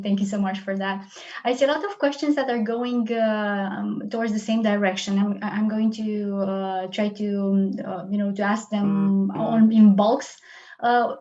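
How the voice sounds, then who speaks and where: A woman's voice: on the high side, muffled recording. A woman with a Romanian accent moderating a panel, hesitant and full of fillers as she reads out questions.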